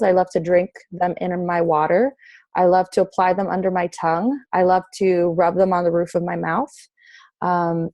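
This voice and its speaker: A woman's voice: on the low side, warm tone, dry recording. A mother in her thirties presenting an online class, speaking with lots of fillers.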